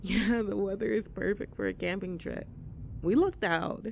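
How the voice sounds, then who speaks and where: A woman's voice: mid-range voice, normal speed, gravelly, a little mellow, slight background noise, natural voice. A woman playing a young, outdoorsy tomboy friend in an audio roleplay, chatting casually in an upbeat, caring and reassuring way. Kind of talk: roleplay audio acting, casual intimate chat.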